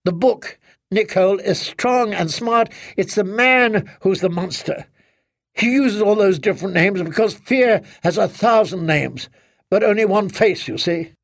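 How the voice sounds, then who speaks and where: Male reader as halting, defensive middle-aged father; gravelly, fairly high voice. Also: dry recording, clean studio sound. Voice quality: hesitant, halting. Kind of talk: audiobook character acting.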